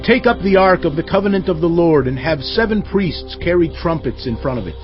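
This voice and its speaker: An actor playing an earnest, authoritative ancient Israelite leader in a dramatized audio Bible, declaiming firmly. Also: male voice, fairly high pitch, expressive, fairly quick, lightly acted. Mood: commanding. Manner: declamatory, firm. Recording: dull audio, faint music underneath.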